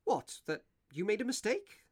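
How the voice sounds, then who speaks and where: Man acting a lively, higher-pitched sceptical gentleman. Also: normal speed, husky, heavily acted. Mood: puzzled. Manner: questioning, doubting, matter-of-fact. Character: practical-minded, sceptical gentleman visitor questioning a railwayman. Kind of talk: radio play acting of a Victorian ghost story.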